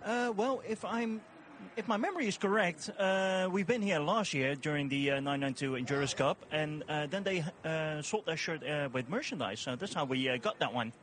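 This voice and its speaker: A Dutch man speaking English with an accent and a high voice. He answers casually and hesitantly as an interviewed spectator, with faint noise around him. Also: moderate pace, a bit bright. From Netherlands. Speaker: race spectator being interviewed. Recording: off-mic with faint ambient noise.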